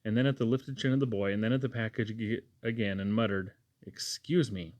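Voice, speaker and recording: A man's voice: lowish voice, fairly even intonation, fast talker, darkish tone, no room sound. A man reading a novel aloud as a storybook narrator. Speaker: audiobook reader.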